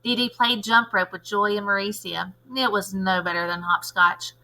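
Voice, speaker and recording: A woman's voice: medium pitch, fairly even intonation, normal speed, bright, forceful tone, poor audio. A woman reading a children's storybook aloud as a teacher would.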